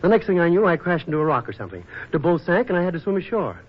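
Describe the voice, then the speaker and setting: Bashful, swooping 1940s radio romantic lead. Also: male voice, high-pitched, very animated, rapid-fire. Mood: crestfallen, confessing. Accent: American. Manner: stammering, eager, boyish. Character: bashful, lovestruck young man posing as a shipwrecked sailor. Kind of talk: 1940s radio drama acting. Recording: muffled old radio recording.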